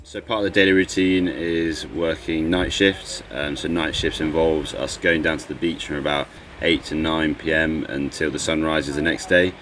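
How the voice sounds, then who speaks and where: Very deep-voiced young Englishman. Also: normal speed. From England. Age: 20s. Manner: steady, explanatory, some uhs. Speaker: volunteer. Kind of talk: casual interview to camera.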